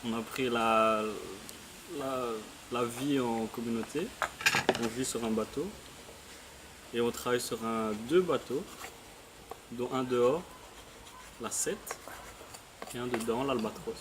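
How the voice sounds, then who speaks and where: A young student from Belgium answering interview questions in short, halting phrases. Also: male voice, medium pitch. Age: young adult. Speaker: student volunteer. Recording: loud outdoor noise.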